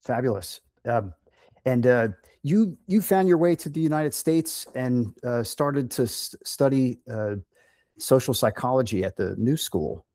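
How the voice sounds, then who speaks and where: A man's voice: medium pitch, unhurried, warm tone, dry recording, studio quality. A man interviewing a guest, upbeat but hesitant, with many ums. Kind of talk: casual interview.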